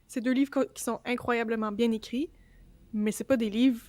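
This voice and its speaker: A woman with a Quebec French accent co-hosting a book podcast, talking in a relaxed, frank way. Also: a little high, moderate pace, no room sound. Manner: relaxed, frank, laughs lightly. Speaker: podcast co-host. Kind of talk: casual chat about books.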